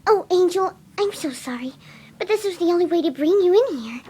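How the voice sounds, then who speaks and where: A tiny, very high-pitched cartoon pegasus. She is timid and kind-hearted, coaxing gently in a soft, dark-edged mellow tone with no sharpness at all. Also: expressive, fairly quick. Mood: apologetic, coaxing.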